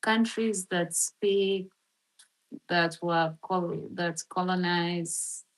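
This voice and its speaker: A woman's voice: on the low side, fairly even intonation, very slow, rough recording. A woman chatting casually on a live stream, rambling with lots of fillers as she thinks aloud and reads from a search. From Philippines. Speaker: livestream host.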